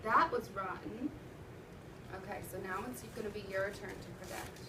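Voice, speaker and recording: A woman's voice: medium pitch, normal speed. A woman teacher reading a story aloud to children, wondering aloud and prompting them as she goes. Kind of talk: interactive read-aloud to children. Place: classroom with children present. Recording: roomy, noisy classroom from far off.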